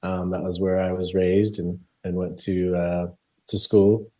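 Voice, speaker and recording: Guest: man, deep mellow voice, loose talker full of ums, laughs easily. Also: level tone, measured pace, no room sound. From Colombia. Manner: rambling, many ums and restarts, laughs easily. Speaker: club founder, interview guest. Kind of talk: casual interview answers.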